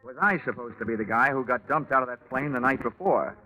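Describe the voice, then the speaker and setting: An actor playing a wry, hard-boiled American investigator in a 1940s radio detective drama, deadpan and wisecracking. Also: male voice, medium pitch, brisk, natural voice. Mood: hard-boiled, narrating. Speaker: detective narrator. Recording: muffled 1940s radio recording with music.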